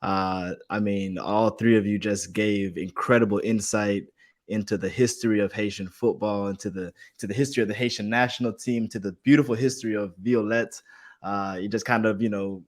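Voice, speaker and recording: Male podcast host, fairly low voice, relaxed and filler-heavy. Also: normal speed. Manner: wrapping up with many fillers and laughs. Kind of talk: casual podcast chat.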